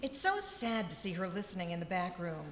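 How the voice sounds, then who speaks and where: An actress playing a sympathetic, fretting cast member in a live stage radio play. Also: female voice, fairly low pitch, animated, fairly quick, close to an everyday voice. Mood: pitying, fretful. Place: large ballroom, live before an audience. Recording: echoey hall with audience noise.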